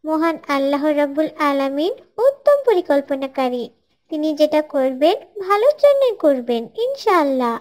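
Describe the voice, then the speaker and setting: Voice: high voice, sing-song, moderate pace. A performer voicing a pious little schoolgirl in a cartoon, earnestly teaching others in a careful, preachy way. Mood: gently correcting. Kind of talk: scripted moral dialogue for an Islamic cartoon.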